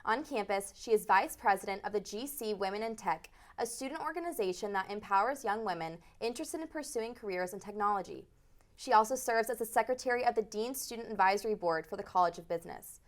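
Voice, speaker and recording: A woman news anchor reading a scripted segment in a formal, crisp manner. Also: medium pitch, lively intonation, fast talker. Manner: crisp, formal read from teleprompter.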